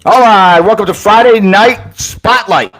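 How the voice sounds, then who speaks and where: A man's voice: high-pitched, unhurried, fairly bright tone, faint music behind. Chummy man co-hosting a sports podcast. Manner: easygoing, chummy, calls co-host buddy. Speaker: podcast co-host. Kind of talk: casual live podcast chat.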